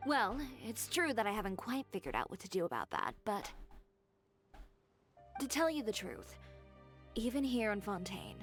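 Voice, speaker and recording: A woman's voice: medium pitch, wildly swooping pitch, normal speed, pauses often, soft background music. A woman voicing a poised, confident young woman fashion designer in a video game, with a composed, dry, self-assured delivery.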